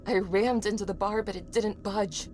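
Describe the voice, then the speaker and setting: A woman's voice: mid-range voice, fairly even intonation, normal speed, a little mellow, slight background noise, natural voice. An actress playing a determined young woman survivor, narrating and speaking in a horror audio drama. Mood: tense. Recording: music under the voice.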